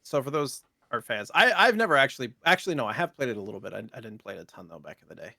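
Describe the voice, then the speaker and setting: A man's voice: mid-range voice, big pitch swings, rapid-fire, vocal fry, sharp tone, no echo, studio quality. A man co-hosting a podcast in casual chat, joking and playing along. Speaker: podcast co-host.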